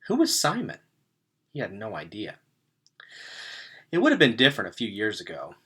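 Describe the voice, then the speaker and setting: A man reading a story aloud as narrator, in an expressive way with dramatic emphasis. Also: lowish voice, normal speed, slightly rough. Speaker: read-aloud reader.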